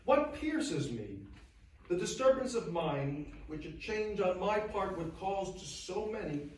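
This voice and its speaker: An actor playing a 19th-century churchman bidding friends a sorrowful, earnest farewell, in a solemn, deliberate theatrical reading. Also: sits high, sing-song, a little mellow, a little hiss or hum, heavily acted. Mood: anguished. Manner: solemn, deliberate, emotive, oratorical with weighted pauses. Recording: very echoey space, distant microphone.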